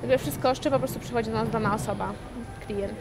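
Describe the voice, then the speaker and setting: Flat-voiced young woman in a mall. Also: on the high side, fast talker, gravelly. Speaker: social worker. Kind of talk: interview answers. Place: shopping mall seating area, indoors. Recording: mall noise behind.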